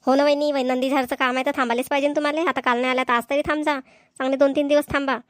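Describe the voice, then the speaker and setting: A woman acting as a talkative cartoon village woman with a Vidarbha Marathi accent. Her voice sits high and rattles along quickly, full of folksy family chatter, in a dry, close sound. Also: little pitch movement, no echo, close to an everyday voice. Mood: insistent. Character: chatty village women gossiping at a family engagement. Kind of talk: amateur cartoon dialogue acting.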